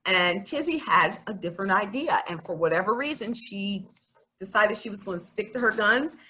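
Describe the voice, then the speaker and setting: Bright, animated woman reading to children. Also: mid-range voice, slight background noise, poor audio. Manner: expressive reading, pausing to explain to children. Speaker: children's story-time reader. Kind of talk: read-aloud storytelling with informal asides to young listeners.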